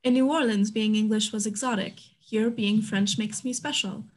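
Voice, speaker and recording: A woman reading the part of a brisk, self-made frontier businesswoman in a staged play reading. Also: medium pitch, steady tone, lightly acted. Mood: assured. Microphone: video call.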